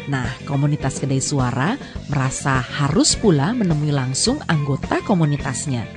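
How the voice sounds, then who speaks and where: A woman's voice: deep voice, mellow. A woman narrating and hosting a radio drama in a scripted but conversational style. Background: prominent music.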